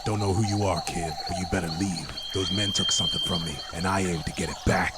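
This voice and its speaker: A man's voice: low voice, steady tone, fast talker, heavy background noise, lightly acted. A man playing a grim, tough vampire hunter in a comic book dub, speaking in a terse, menacing way. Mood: grim, stern. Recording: loud music under voice.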